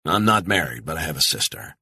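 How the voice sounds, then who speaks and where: A man's voice: rumbling low, animated, a little fast, bright, forceful tone, breathy edge, dry recording, studio quality, heavily acted. A man voicing a guarded American male operative with an American accent, talking tersely and laconically.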